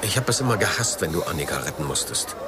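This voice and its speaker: An actor playing a guilty, self-justifying man in a naturalistic radio crime drama, confessing in a halting, defensive way. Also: low voice, very animated, very noisy. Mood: subdued, guilty.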